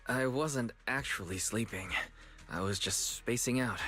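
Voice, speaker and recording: A man's voice: medium pitch, measured pace, a bit bright, faint music behind, close to an everyday voice. A man playing an earnest, easygoing young leader in a video game, speaking in a sincere, natural way. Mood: weary, puzzled. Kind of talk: scripted game voice acting.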